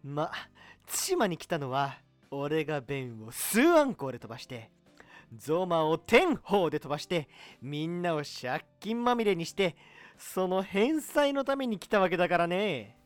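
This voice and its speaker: A man's voice: on the high side, big pitch swings, moderate pace, dry recording, soft background music. A man playing a smug, girl-crazy young braggart in an amateur comic radio drama. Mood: smug, boastful. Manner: pompous, theatrical boasting.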